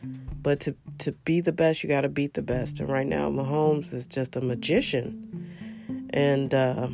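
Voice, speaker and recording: A woman's voice: low voice, little pitch movement, a little slow, creaky, over music. A woman hosting a solo podcast, congested and coughing now and then, talking in a chatty, rambling, emphatic way. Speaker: podcast host. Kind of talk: casual solo podcast chat about football.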